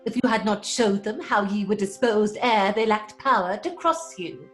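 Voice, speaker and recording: A woman's voice: medium pitch, normal speed, faint music behind, natural voice. A woman playing a stage actress in an audio drama, theatrical in her delivery. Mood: declaiming. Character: theatre actress declaiming classical lines, cheeky between scenes. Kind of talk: dramatic audio fiction acting.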